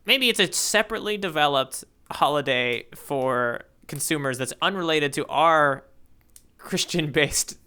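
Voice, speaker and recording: Bright, clear man, musing podcast co-host. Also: on the high side, expressive, measured pace. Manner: musing, playful, often checks with "right?". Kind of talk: casual discussion of a manga.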